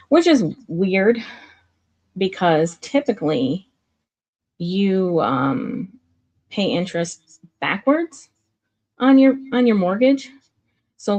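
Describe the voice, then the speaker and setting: Nasal, gravelly-voiced woman explaining. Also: deep voice, a little mellow. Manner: explains step by step, many fillers and restarts. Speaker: commentary streamer. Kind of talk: casual live-stream commentary. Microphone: streaming setup mic.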